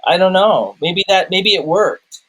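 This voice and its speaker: Higher-voiced man, casually speculative. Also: a little slow, fairly bright tone, husky, low-quality recording. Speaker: film review show panelist. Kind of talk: casual movie discussion.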